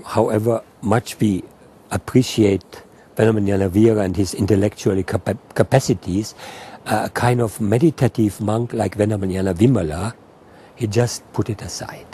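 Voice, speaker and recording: An older man, a Buddhist monk, speaking reflectively and haltingly in an outdoor documentary interview. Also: fairly low pitch, warm tone, a little hiss or hum. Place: outdoors in a green garden.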